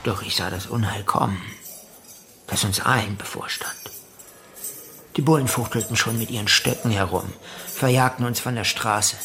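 Preaching drifter guru over music. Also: male voice, on the low side, normal speed, long pauses, pushed and bright. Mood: wary, ominous. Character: drifting male commune guru, ex-convict, wary, fervently anti-establishment. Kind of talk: German radio drama monologue.

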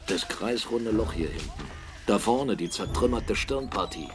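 A brisk, inquisitive captain in a radio drama. The voice is on the low side and very lively in pitch, with a blunt, insistent push, and music plays behind it. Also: male voice. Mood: grim, insistent. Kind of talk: German radio drama acting.

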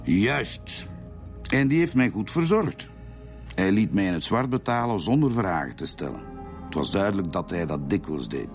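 An actor playing a cold, menacing Flemish armed robber in a radio drama, matter-of-fact about violence. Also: male voice, lowish voice. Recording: music behind the voice.

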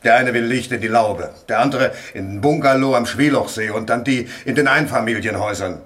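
An actor playing a harried, put-upon electrician in a German radio play, brusque, repetitive and complaining. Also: male voice, mid-range voice, fairly quick, a bit bright, close to an everyday voice. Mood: exasperated.

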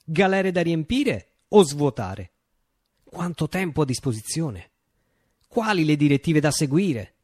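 A reflective revolutionary man tells his story in a theatrical radio monologue. His voice sits fairly high and moves through wide, expressive swoops. He phrases deliberately with dramatic pauses, and the recording is close and dry. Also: no echo. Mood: wry, emphatic. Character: reflective male revolutionary recalling a utopian Italian uprising.

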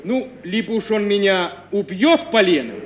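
An actor playing an anxious, lovesick young merchant's son with folksy, plaintive, grumbling speech in an old radio play. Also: male voice, sits high, expressive, moderate pace. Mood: fretful. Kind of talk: 1950 Russian radio play acting. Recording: muffled, hissy 1950s radio recording.

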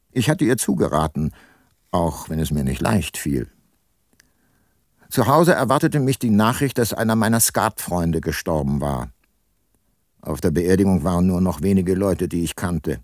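A man's voice: sits low, darkish tone, no echo, clean studio sound. An actor playing a sombre, reflective older detective who narrates his own radio drama. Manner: reflective, sober. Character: brooding, sombre aging private detective narrator. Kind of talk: first-person radio drama narration and dialogue.

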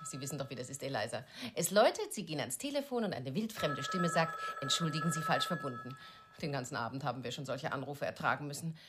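An actress playing a brisk, sociable but firm wife and hostess in a German radio play. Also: female voice, very deep voice, expressive, very noisy. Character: brisk wife hostess, smoothing over her husband's oddness.

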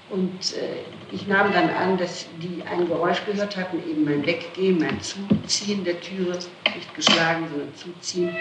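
A person under police questioning recounts events in a mid-pitched voice, explaining and correcting themself along the way. The archival tape is roomy, with clear noise over the speech. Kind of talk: interrogation testimony, recounting events.

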